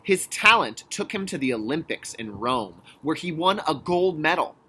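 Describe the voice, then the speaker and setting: A male kindergarten teacher with a bright, forceful voice that has a slightly husky edge. He moves between expressive storybook reading and clear explanatory asides for young children, with very animated intonation. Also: fairly high pitch, normal speed. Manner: clear instructional phrasing with check-in questions. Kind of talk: children's read-aloud lesson with explanatory asides.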